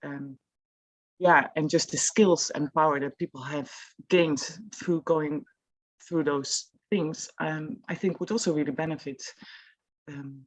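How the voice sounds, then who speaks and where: A woman with a Dutch accent speaking on a panel as an employer, thoughtful and soft-spoken with frequent pauses. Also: low voice, low-quality recording. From Netherlands. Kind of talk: panel discussion.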